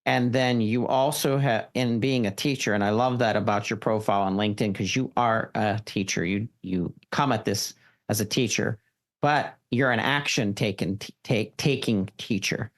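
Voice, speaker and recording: A man's voice: on the low side, fairly even intonation, moderate pace. A man hosting a podcast interview, asking long, winding questions with restarts. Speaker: podcast host.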